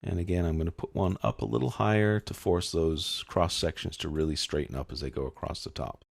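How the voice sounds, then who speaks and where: Man, software tutorial narrator, gravelly, dry close sound, informal explanatory delivery. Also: sits low, a little fast, dry recording. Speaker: instructor.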